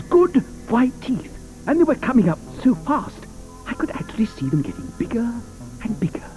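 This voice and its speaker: A whimsical, eccentric chocolate inventor in a studio audio drama, his voice pitched high and swooping widely, yet mellow in tone. He hesitates with little "uh"s, then spins a tale full of wonder. Soft music sits underneath. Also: low-quality recording. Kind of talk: 1980s audio drama acting.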